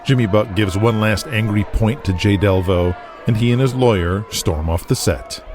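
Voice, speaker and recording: A man's voice: lowish voice, moderate pace, over music, crowd noise. A man narrating an audio drama from a script, describing each scene in the present tense.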